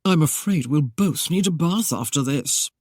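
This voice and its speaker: A man's voice: a little high, lively intonation, unhurried, breathy edge, no room sound, clean studio sound. A man playing a teasing young rogue. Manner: teasing, mock-innocent. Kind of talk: audiobook character voice.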